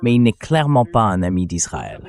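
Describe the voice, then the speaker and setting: Man, fairly low pitch, AI-read translation, interview host, conversational and questioning. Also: wildly swooping pitch, a little fast, creaky, darkish tone.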